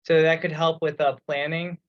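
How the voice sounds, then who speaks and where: A man's voice: a little high, flat delivery, normal speed. A man speaking casually in a meeting as an organizer, thinking aloud with some hesitation. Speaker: project organizer on a committee call. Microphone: remote teleconference call. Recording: dull, lo-fi conference call audio.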